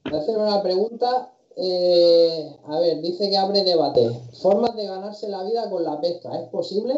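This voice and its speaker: A man's voice: high voice, normal speed, a little mellow. A man on a fishing livestream call reading out viewer questions in a casual, slightly hesitant way. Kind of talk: casual chat on a video call. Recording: echoey, distant, lo-fi call audio.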